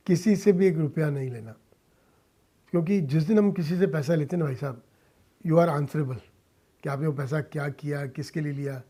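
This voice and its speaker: Emphatic mature man, slightly high mellow voice. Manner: emphatic, deliberate, short phrases, respectful address, some English mixed in. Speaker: interview guest, social-cause filmmaker and philanthropist. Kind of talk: earnest radio interview talk.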